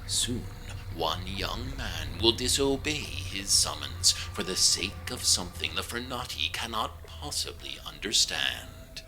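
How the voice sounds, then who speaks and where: A man narrating an audio drama anthology in a deliberate, theatrical style from a script. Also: low-pitched, lively intonation, noisy background. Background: music.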